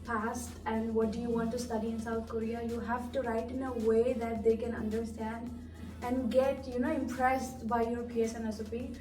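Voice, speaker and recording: A woman's voice: fairly high pitch, little pitch movement, dark tone, echoey room, far from the mic, soft background music, low-quality recording. A young woman from India, leading a casual group chat among students and asking questions. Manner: asks questions, quick affirmations. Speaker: student discussion lead.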